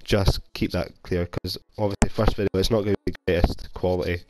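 Deep-voiced Scottish man with a cold. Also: little pitch movement, brisk, a little mellow, poor audio. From Scotland. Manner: rambling, self-deprecating, chatty. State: has a cold. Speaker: gaming YouTuber. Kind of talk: casual gameplay commentary. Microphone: console headset mic.

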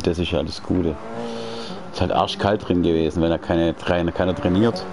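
A man's voice: deep voice, steady tone, normal speed, music in the background. A man in his late forties chatting casually while he streams a racing game from home, rambling and slangy with many restarts. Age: 40s. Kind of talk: casual chat while gaming. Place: indoors at home. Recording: racing game engine noise behind. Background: car engine sounds from the game.

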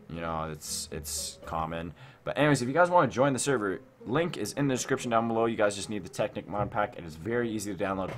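Low-voiced young man over loud music. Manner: rambling, thinking aloud. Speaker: gaming video host. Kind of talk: casual gameplay commentary.

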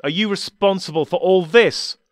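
A man's voice: fairly high pitch, expressive, measured pace, a bit bright, no echo, studio quality, pitched above the performer's own voice. A man voicing an agitated, confrontational man who speaks in a raised, indignant, accusing voice.

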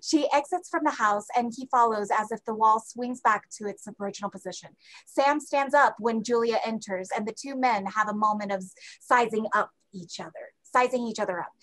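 A woman's voice: fairly high pitch, a little fast, fairly bright tone, rough recording. A woman reading out stage directions as scripted narration for a play. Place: indoors at home, on a group video call.

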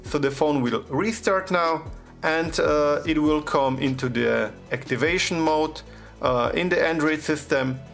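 A man's voice: fairly high pitch, measured pace, gravelly, a bit bright, soft background music. A man hosting a phone repair tutorial, giving plain step-by-step instructions with frequent hesitations. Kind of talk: step-by-step how-to narration.

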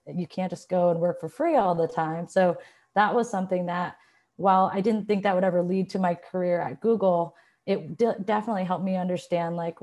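A woman in her thirties speaks through an earphone mic on a video call, her voice sitting a bit low. She tells her story in a loose, chatty way at home. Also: mellow. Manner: chatty, lots of "like" and "you know". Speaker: panelist. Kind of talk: casual career talk on a panel. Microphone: earphone headset mic on a video call.

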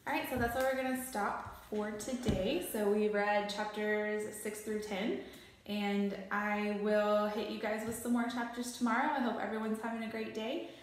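A woman's voice: medium pitch, level tone, moderate pace, very echoey, a little hiss or hum. A young adult woman narrating a children's book aloud in a small bare room. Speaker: storybook reader.

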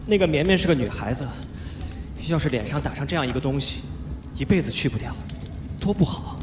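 An actor playing a playful, cheeky young wuxia cultivator, chattering and teasing in live stage audio-drama style. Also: male voice, on the high side, expressive, a little fast, background noise, close to an everyday voice. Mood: light-hearted, boastful. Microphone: handheld microphone. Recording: live stage, echoey hall, music behind.

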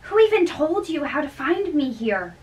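A woman's voice: high voice, sing-song, normal speed, voice pushed up. A woman acting an anxious, bashful, girlish young noblewoman in love, in an amateur dramatic reading. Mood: worried. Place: small bedroom, indoors. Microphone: far camera. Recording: distant room microphone.

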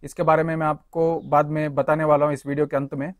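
A young man explains a power tool part by part, talking to viewers as friends. His voice sits a little high and stays even in pitch. The sound is close and dry, with no room echo. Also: fast talker. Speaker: tool reviewer. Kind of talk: hands-on product walkthrough.

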